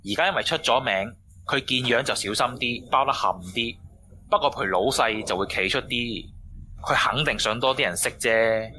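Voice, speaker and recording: Casting: male actor as guarded, streetwise Hong Kong Cantonese informant, sharp mid-pitched voice, slangy radio drama. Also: normal speed, a little hiss or hum, natural voice. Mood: cagey, knowing.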